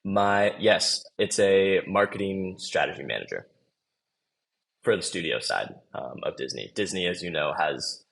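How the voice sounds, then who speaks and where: A man's voice: deep voice, expressive, measured pace, creaky, fairly bright tone, poor audio. A young man from Los Angeles, a podcast guest talking in a loose, easygoing, chatty way. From Los Angeles, USA. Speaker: podcast guest, marketing manager. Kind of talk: casual interview chat.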